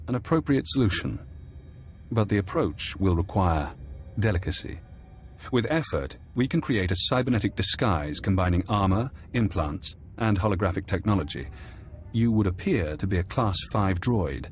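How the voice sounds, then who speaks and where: A man playing a calm, precise intelligence handler in a scripted game cutscene, speaking in a measured, detached way. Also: low voice, slight background noise. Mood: measured, clinical. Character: calm, clinical male intelligence handler and tech expert.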